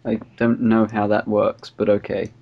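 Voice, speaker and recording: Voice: medium pitch, monotone, normal speed, gravelly. A podcast co-host with a British accent, making dry, wry remarks in casual chat. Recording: muffled call audio.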